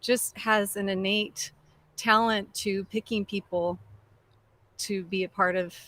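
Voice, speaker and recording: A woman band member answering interview questions in a halting way, with many fillers. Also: medium pitch, fairly even intonation. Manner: warm, halting, full of fillers.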